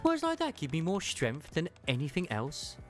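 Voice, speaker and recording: A woman's voice: sits low, huge pitch swings, moderate pace, music in the background. A woman voicing a tough, earnest tomboy girl soldier in a fan dub of a game story. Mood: determined.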